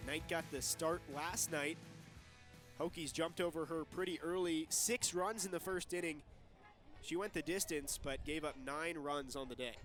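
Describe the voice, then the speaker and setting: Young man calling softball, higher-pitched voice. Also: normal speed, soft background music. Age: young adult. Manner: clipped, present-tense calls. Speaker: play-by-play commentator. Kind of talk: live softball play-by-play commentary.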